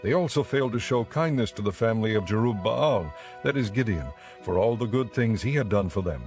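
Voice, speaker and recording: A man narrating scripture as a scripted audiobook in a measured, solemn manner. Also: lowish voice, expressive, a little mellow, faint music behind.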